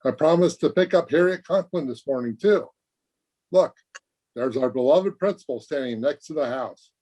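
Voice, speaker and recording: An actor playing an earnest, guileless teenage high school boy, chatting eagerly in an old-time radio comedy. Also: animated, normal speed, warm tone. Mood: cheeky, breezy. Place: remote recording over video call.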